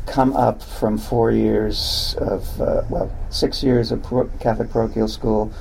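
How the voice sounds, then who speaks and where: Slow man with a very gravelly voice. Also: lowish voice, steady tone, a little mellow, slight background noise, rough recording. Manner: slow, halting, searching for words. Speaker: event host introducing an author. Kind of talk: personal introduction.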